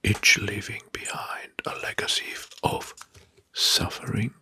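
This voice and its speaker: A man narrating history in an ASMR style, in a breathy, husky whisper, slowly and deliberately in short phrases. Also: bright, forceful tone, dry recording. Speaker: ASMR video host. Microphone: close microphone.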